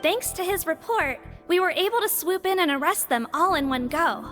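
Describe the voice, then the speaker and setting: High-voiced, earnest woman official. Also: animated, moderate pace, a bit bright. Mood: earnest, matter-of-fact. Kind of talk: scripted video game dialogue. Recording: clear background music.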